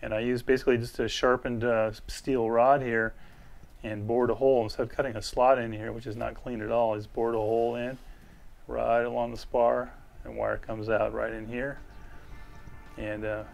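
Guy walking thru a diy build in his workshop, casual, kinda halting, lots of vocal fry. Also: mid-range voice, level tone, lo-fi sound. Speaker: instructor, model glider expert. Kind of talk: hands-on workshop demonstration. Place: small indoor hobby workshop.

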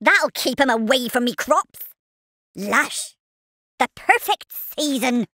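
A rustic little farmer worm in a cartoon video game, with a West Country accent. The voice is high and swoops about animatedly, drawing words out at an unhurried pace. It is cheeky and quick to take offence, delivering short exclamatory barks. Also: animated, bright, forceful tone, no room sound, exaggerated voice. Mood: contented. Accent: English West Country.

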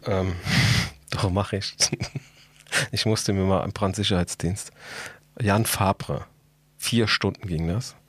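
A man's voice: low-pitched, steady tone, takes it slowly, slightly rough, no echo. A man hosting a podcast in casual chat, talking in a colloquial, blunt, joking way. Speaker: podcast host.

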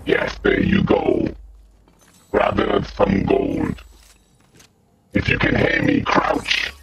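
A man voicing a slow, guttural demon who calmly bosses others about while commentating on a video game, through a deep voice filter. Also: exaggerated voice. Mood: taunting. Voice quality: guttural, growling, gravelly. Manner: slow, drawn-out, deliberate short phrases. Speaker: gaming channel host. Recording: muffled lo-fi audio, faint noise.

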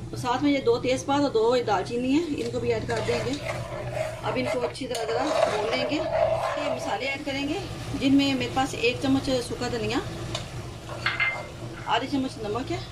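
A woman hosting a home cooking tutorial in her kitchen, talking through each step slowly with frequent hesitations. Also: sits high, heavy background noise. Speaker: cooking channel host.

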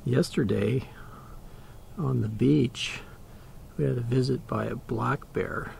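A man casually narrating a boating vlog outdoors on his boat, relaxed and chatty, sometimes dropping to a soft voice. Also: medium pitch, gravelly, slight background noise. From Canada. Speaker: boating vlogger.

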